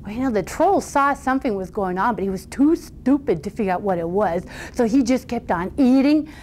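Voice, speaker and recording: High-voiced woman telling a fairy tale. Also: animated, a little fast, darkish tone, slight background noise. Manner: conversational, informal. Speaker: storyteller. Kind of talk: animated oral fairy-tale storytelling.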